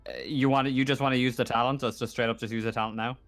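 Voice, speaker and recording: A man running a tabletop roleplaying game, narrating and explaining rules in a halting way, often repeating himself. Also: medium pitch, little pitch movement, a bit bright, faint music behind. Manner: halting, often repeats and corrects his words. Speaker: game master.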